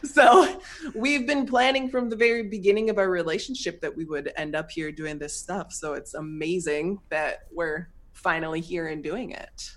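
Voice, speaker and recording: An American woman with a voice pitched low for a woman. She tells her story animatedly as an interview guest, laughing often. Her talk is full of fillers like "um" and "like.". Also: fairly even intonation, moderate pace, a bit bright. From United States.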